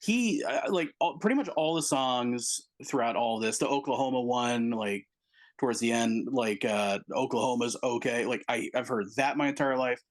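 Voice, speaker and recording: A man's voice: mid-range voice, normal speed. Rambling, self-mocking man on a podcast. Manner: rambling, self-deprecating, many fillers. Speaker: podcast co-host. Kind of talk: casual movie chat.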